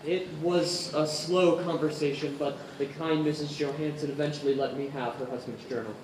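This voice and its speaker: A male actor performs an earnest investigator haunted by what he has learned. His radio-play delivery is formal and literary. The voice sounds distant over clear background noise. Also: on the high side, fairly even intonation, normal speed, close to an everyday voice. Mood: grave, haunted. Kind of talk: dramatic radio-play narration and dialogue. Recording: echoey, distant, noisy theatre recording.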